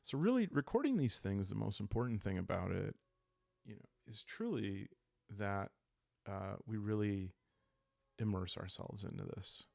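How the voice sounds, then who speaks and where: Calm soft spoken guy hosting a sleepy solo rpg thing, deep croaky voice, lots of thinking pauses, really dry sound. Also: no room sound. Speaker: host of a solo roleplaying game series. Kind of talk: calm, rambling sleep-aid game walkthrough.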